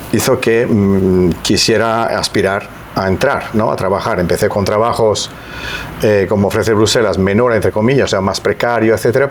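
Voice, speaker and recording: A man's voice: lowish voice, a little hiss or hum. A middle-aged man from Italy's Veneto, speaking Spanish with an Italian accent as a podcast guest, reflective and hesitant with many restarts. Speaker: guest, EU civil servant. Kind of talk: podcast interview.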